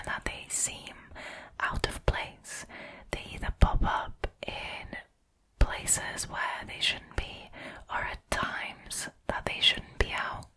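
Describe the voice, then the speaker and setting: A woman, hosting a scary story channel and retelling paranormal encounters in a soft, steady whisper close to the microphone. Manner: soft unvoiced whisper throughout, unhurried.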